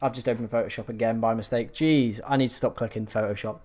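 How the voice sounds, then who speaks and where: A man hosting a live stream, chatting casually and reacting to viewer comments, his voice slightly hoarse from hours of talking. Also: mid-range voice, steady tone, quick pace, a little mellow.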